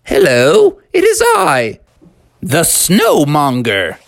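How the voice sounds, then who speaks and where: A crazy living snowman from a children's storybook, acted by a man. The voice is boastful and gleefully mischievous, leaping up and down in pitch. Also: wildly swooping pitch, extremely slow, gravelly, no echo, clean studio sound, exaggerated voice.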